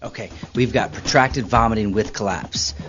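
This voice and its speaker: An actor playing a brisk, businesslike animal hospital staffer who reports cases in clipped clinical terms. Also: male voice, fairly low pitch, fairly bright tone, natural voice. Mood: matter-of-fact. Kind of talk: acted video game cutscene. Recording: muffled game audio with music behind.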